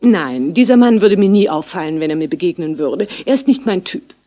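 An actress playing a chatty, coy and obliging flower seller in a 1960s radio play. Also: female voice, lowish voice, lively intonation, fairly quick, mellow, natural voice. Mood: flirtatious.

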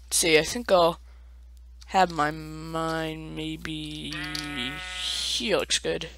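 A man's voice: a little high, level tone, extremely slow, gravelly, crowd noise. A young man casually commenting on his own gameplay, chatty and self-mocking, with playful asides. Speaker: let's play gamer.

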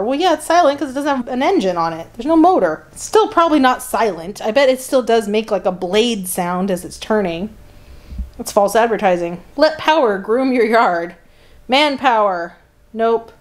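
A woman with a slightly high voice talks casually as the host of a flip-through video. She moves between reading printed copy aloud and adding wry, sardonic asides in a conversational manner. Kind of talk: casual running commentary mixed with reading catalog copy aloud.

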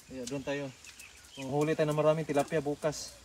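A man's voice: fairly high pitch, flat delivery, a little fast, darkish tone. A man with a Filipino accent chatting casually as he works outdoors as a fishing livestream host. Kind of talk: casual chat while working. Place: outdoors on a grassy waterside bank. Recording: clearly noisy outdoor phone recording.

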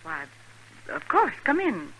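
A woman's voice: high voice, wildly swooping pitch, slow pace, full character voice. An actress playing an earnest, protective frontier woman in 1950s radio drama, speaking with pleading sincerity. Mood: polite, uneasy. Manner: pleading, earnest, soft-hearted. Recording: noisy vintage radio recording.

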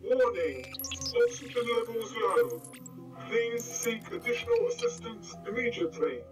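A performer voicing an impersonal automated warning computer in a sci-fi audio drama, with clipped, measured announcements. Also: medium pitch, big pitch swings, far from the mic, music in the background. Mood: impersonal alarm. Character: calm automated spacesuit computer warning system.

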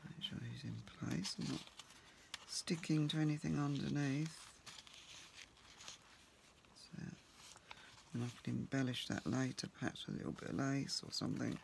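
A man with a croaky voice, chatting casually to himself while he works at a home craft table as host of his crafting channel. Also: medium pitch, fairly even intonation, normal speed, long pauses, slight background noise.

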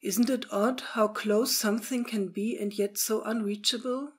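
A woman's voice: fairly high pitch, fairly even intonation, normal speed, breathy edge. A woman giving a scripted first-person reminiscence as a witness. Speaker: witness recalling past experience.

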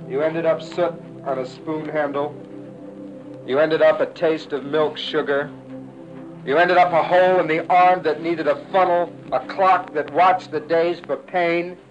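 A man reciting poetry in a spoken-word style, as a poet. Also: a little high. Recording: dull old 1960 radio recording, music.

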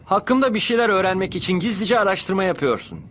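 A man's voice: high-pitched, animated, fast talker, a bit bright, slight background noise. A man playing a self-assured, mysterious teenage rival in a dubbed anime, composed and confident. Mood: confident, cool.

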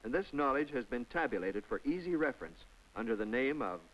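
A man's voice: mid-range voice, very animated, brisk, a bit bright, rough recording. A man narrating a public information film in a scripted, chatty voice-over with folksy asides to the viewer. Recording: old film soundtrack with faint music.

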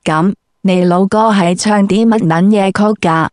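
A woman's voice: mid-range voice, unhurried, no echo, studio quality. A woman doing a crude, scripted comic interview in a brash, foul-mouthed way.

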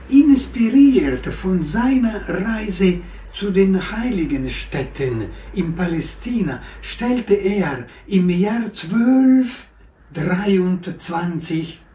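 An older man from Italy, a priest slowly giving a New Year's blessing from a room at home, filmed by a camera a little way off. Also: on the high side, warm tone, a little hiss or hum. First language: Italian. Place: living room with window, indoors. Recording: echoey room, mic at a distance.

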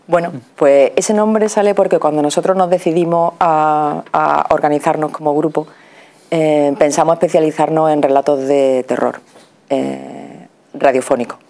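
A woman's voice: sits low, fairly even intonation, normal speed, mellow. A middle-aged woman with an accent from Spain, explaining as an interview guest. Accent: Spanish (Spain). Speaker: interview guest, radio theatre performer. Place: TV studio. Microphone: lapel.

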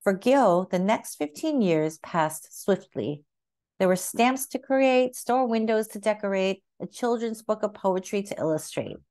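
A woman reading a children's picture book aloud as narrator, with expressive, carefully phrased storytelling. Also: mid-range voice, a little slow.

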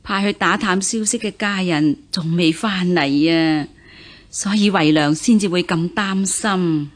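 A woman acting a worried, gentle middle-aged mother of an official household in a Cantonese radio drama, sighing and solicitous. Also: fairly low pitch, measured pace, close to an everyday voice. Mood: anxious.

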